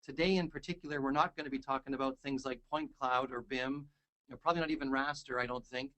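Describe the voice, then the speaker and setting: A man hosting a software webinar, upbeat and joking, enthusiastic as he talks with a colleague. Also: mid-range voice, fairly even intonation, quick pace, low-quality recording. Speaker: webinar host. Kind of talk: casual webinar chat.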